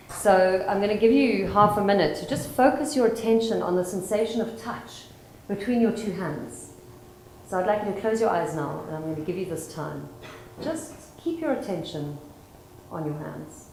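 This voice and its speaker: A South African psychologist speaking to a conference audience and calmly guiding them through mindfulness exercises. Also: female voice, fairly low pitch, slight background noise. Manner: calm and guiding, slowing for instructions. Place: large conference hall. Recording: roomy hall with faint ambience.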